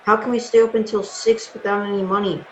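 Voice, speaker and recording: A man's voice: high-pitched, little pitch movement, fast, a little hiss or hum, lightly acted. A man playing an absent-minded, flustered old uncle in a radio drama, with a fretful, dithering manner. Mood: worried. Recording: roomy, dull lo-fi sound.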